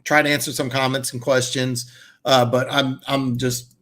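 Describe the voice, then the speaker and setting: A man hosting a live football chat stream, answering viewers in a rambling, enthusiastic way, his voice hoarse and congested. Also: mid-range voice, flat delivery, moderate pace, a bit bright. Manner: rambling, many restarts and fillers, enthusiastic.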